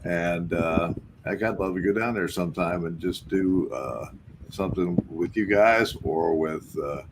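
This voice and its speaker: A man hosting a casual radio chat in a jovial, halting way. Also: deep voice. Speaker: radio show host. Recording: clearly noisy background with clicks.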